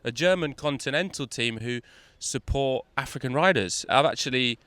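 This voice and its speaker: A man's voice: mid-range voice, moderate pace, fairly bright tone, no echo. A man hosting a cycling podcast, asking upbeat, chatty questions in a roadside interview. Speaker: podcast host.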